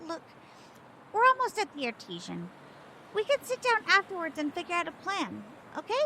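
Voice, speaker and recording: Tiny squeaky sidekick critter, super high, bubbly n dramatic, all over the place, audio drama. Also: female voice, wildly swooping pitch, normal speed, gravelly, pushed and bright, a little hiss or hum, exaggerated voice, low-quality recording. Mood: coaxing, upbeat.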